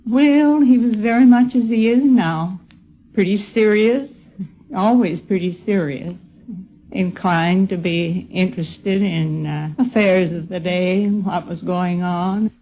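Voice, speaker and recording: An older woman with an American accent, reminiscing in a measured, matter-of-fact way in a dull 1950s radio interview. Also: mid-range voice. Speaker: interviewee recalling an old acquaintance. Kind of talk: unscripted reminiscing.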